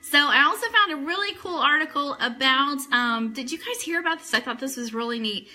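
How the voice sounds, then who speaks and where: Woman, bright crisp-edged tone, slightly high pitch, informal enthusiastic live-show host. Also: lively intonation, brisk, faint music behind. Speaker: host of an Elvis fan radio show. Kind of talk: casual chatty live show hosting.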